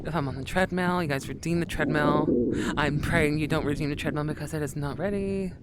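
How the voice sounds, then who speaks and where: A woman's voice: deep voice, fairly even intonation, fairly quick, very noisy. A woman streaming and chatting casually with her viewers, rambling and calling them sweetie.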